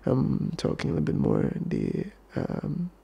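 A man's voice: a little slow, highs cut off. A young man hosting a podcast in a laid-back, casual chat, switching between Indonesian and English with a British-leaning accent and sometimes dropping to a near-whisper. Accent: Indonesian-tinged British English. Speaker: podcast host. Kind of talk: casual chat answering fan questions.

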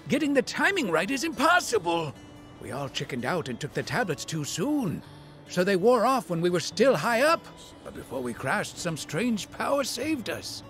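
High, excitable old demon sidekick. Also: male voice, moderate pace, faint music behind. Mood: complaining. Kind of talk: video game cutscene acting.

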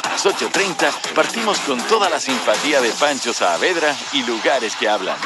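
A man's voice: mid-range voice, normal speed. A man reading an upbeat TV schedule promo as a voice-over. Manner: lively, selling. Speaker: TV channel announcer. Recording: promo voice over loud music.

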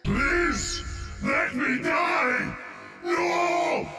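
Tormented undead warrior for a game, pleading, high strained pitch, music behind. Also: male voice, animated, very slow, creaky, heavily acted. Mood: anguished, desperate. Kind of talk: game character voice lines. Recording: echoing hall reverb, loud music behind.

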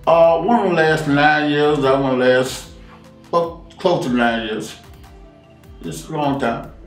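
A man's voice: fairly high pitch, slow pace, far from the mic, faint music behind. A man in his late sixties being interviewed for a documentary, speaking plainly and repeating his points. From Washington, D.C. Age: 60s. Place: living room on a leather couch. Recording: roomy sound from off-mic.

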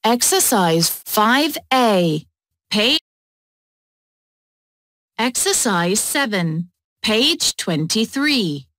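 Very slow woman announcer. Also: medium pitch, big pitch swings, a bit bright, no echo, studio quality. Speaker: track announcer. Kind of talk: announcing exercises.

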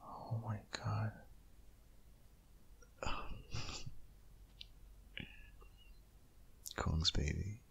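A man playing a devoted, anxious but reassuring vet boyfriend in an intimate ASMR audio roleplay, whispering breathily with hushed, coaxing urgency. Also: fairly low pitch, very slow, vocal fry, background noise, heavily acted. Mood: relieved, tender.

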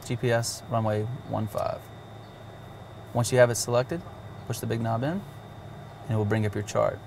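A man's voice: medium pitch, flat delivery, normal speed, vocal fry, a little mellow, a little hiss or hum. A man casually demonstrating a cockpit navigation system step by step, with occasional fillers. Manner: walks through steps plainly, with fillers. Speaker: avionics demonstrator.

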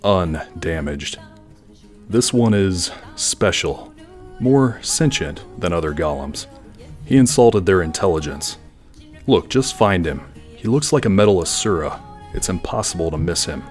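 A man playing a brooding, grandiose villain in a fan audio drama, delivering his words in a dramatic, measured way. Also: low-pitched, animated, darkish tone, faint music behind. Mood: cold, commanding. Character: brooding, grandiose male villain plotting world domination.

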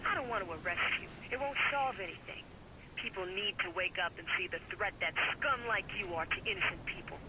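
Man playing a high-pitched, self-righteous military enemy. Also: fairly quick, very noisy, natural voice. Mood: cold threat.

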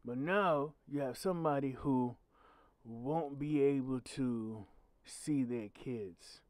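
A man with a growly, mid-pitched voice delivers solo commentary on his own channel. He talks bluntly and emphatically, swearing freely as he makes his point. The sound is dry and close, with no room echo. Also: slow, a little mellow. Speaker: channel host.

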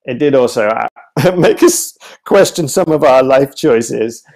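A man's voice: medium pitch, expressive, a little slow, vocal fry, a little mellow. Hesitant, thoughtful man in interview. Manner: hesitant, searching for words, often restarting phrases. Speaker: guest, writer and theatre artist. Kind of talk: thoughtful interview answers over video call. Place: home room with bookshelves, indoors. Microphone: video call, wired earphones.

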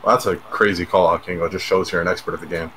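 Deep-voiced fast-talking game analyst. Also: male voice, steady tone, sharp tone, a little hiss or hum. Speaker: analyst commentator. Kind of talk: sports analysis.

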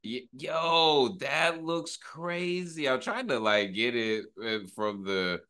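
A man's voice: medium pitch, slow, pushed and bright, clean tone, faint music behind. A man in his thirties giving casual, slangy reaction commentary, enthusiastic and full of awe, as a video host. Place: small home room.

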